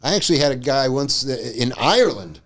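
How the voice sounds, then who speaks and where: Male podcast co-host, quick and lively, salty anecdote-teller. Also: medium pitch. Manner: fast, profane, lively anecdotes. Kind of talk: casual chat.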